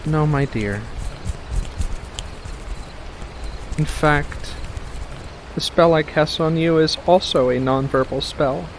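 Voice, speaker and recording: A man voicing a playful, mischievous furry witch of the woods in a soft-spoken, teasing ASMR roleplay, full of gentle endearments. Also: a little high, a little slow, a little hiss or hum.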